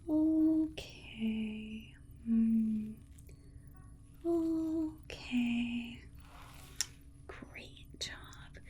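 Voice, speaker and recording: A woman playing a gentle alien scientist in an ASMR roleplay, soothing and coaxing in a repetitive, babying manner. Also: fairly high pitch, extremely slow, long pauses, a little hiss or hum. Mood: soothing, encouraging. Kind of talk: whispered ASMR medical roleplay.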